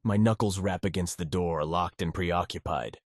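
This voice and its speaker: A man's voice: sits low, moderate pace, background noise, lightly acted. A man playing an awkward, wry teenage boy in a visual novel, both narrating and speaking in dialogue.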